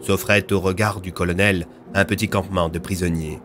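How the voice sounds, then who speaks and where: Low-voiced male storyteller. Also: fairly even intonation, a little fast, a bit bright, soft background music. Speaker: narrator. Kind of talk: scripted audio drama narration.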